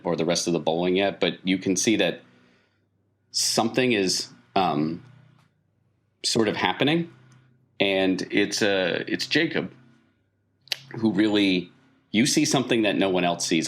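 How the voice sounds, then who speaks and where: Deep-voiced American man narrating a game. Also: expressive, a bit bright. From small-town Maine, US. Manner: halting, builds scenes gradually. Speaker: game master. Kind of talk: improvised tabletop game narration.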